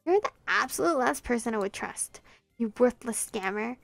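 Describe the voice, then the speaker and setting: A woman's voice: a little high, lively intonation, normal speed, gravelly, dry recording, faint music behind. A woman voicing a prim, irritable teenage girl who scolds curtly. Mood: scolding. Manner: curt, exasperated, scolding.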